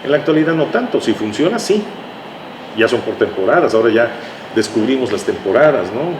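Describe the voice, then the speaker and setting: An older man with a Mexican accent, reminiscing conversationally as an interview guest, explaining things in a halting way and checking for agreement. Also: medium pitch, big pitch swings. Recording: echoey room with clear background noise.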